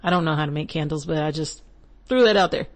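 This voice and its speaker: A woman's voice: sits low, fast talker. A young millennial woman hosting a podcast, giving casual spiritual advice in a chatty, rambling way with frequent asides and laughs. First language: English. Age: young adult. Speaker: podcast host.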